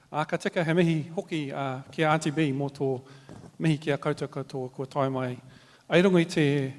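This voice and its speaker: A man with a New Zealand accent, speaking at a live panel in a measured, reflective way with many fillers and restarts. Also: fairly high pitch. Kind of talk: formal panel talk with Māori greetings. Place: large hall at a live event. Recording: reverberant hall.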